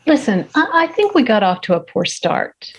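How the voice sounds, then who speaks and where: A woman's voice: fairly high pitch, sing-song, moderate pace, husky, exaggerated voice. A woman at a table read playing an eager, nervous woman of twenty-five, on a lo-fi recording. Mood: anxious, scheming. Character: upbeat, anxious 25-year-old woman, new renter. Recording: dull lo-fi sound.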